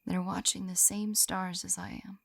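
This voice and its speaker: A young woman playing a gentle, shy lamia on a first date in an intimate ASMR roleplay, soft-spoken and often whispering, hesitant and tender, close to the microphone. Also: flat delivery, normal speed, no room sound. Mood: wistful, soft. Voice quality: soft, breathy whispering mixed with low murmurs. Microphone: close-up microphone, dry sound.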